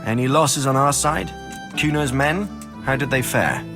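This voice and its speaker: A man's voice: medium pitch, a little slow, music in the background, natural voice. An actor playing a commanding nobleman in a video game, dry and wry yet gracious to his retainer. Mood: wry, inquiring. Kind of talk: dramatic video game dialogue.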